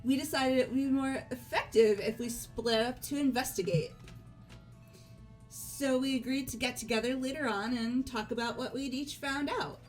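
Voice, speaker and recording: A woman voicing a sweet, sincere, gently teasing teenage girl in a video game. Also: sits high, moderate pace, faint music behind, natural voice, low-quality recording. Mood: businesslike. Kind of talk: fan voice acting of visual novel dialogue.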